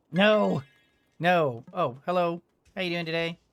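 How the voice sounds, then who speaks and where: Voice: medium pitch, slow. A video game streamer giving casual commentary, thinking aloud in a hesitant, rambling way.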